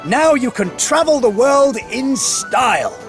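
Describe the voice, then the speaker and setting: An eager young craftsman in a video game. His voice sits very high and sounds bright and excited. Loud game music plays behind him. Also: unhurried, heavily acted. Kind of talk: video game cutscene voice acting.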